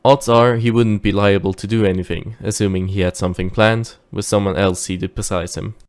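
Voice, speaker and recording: A man playing a dutiful, wary driver who recounts his tale in measured audio drama narration. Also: lowish voice, fairly even intonation, a little mellow, lightly acted. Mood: wary, reflective.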